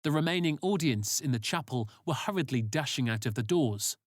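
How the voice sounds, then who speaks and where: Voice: medium pitch, lively intonation, a little fast, no room sound, studio quality. An author reading their own story aloud as scripted audiobook narration.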